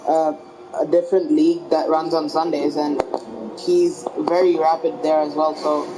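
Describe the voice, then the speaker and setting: Flat-voiced male co-commentator. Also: a little high, slight background noise, rough recording. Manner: laid-back, halting remarks. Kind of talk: sim racing commentary.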